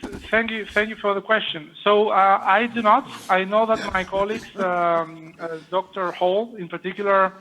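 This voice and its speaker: A man with a French accent explaining a surgical procedure live and answering questions, halting with many fillers. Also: high voice, steady tone, sharp tone. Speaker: surgeon demonstrating a live case. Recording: muffled lo-fi audio.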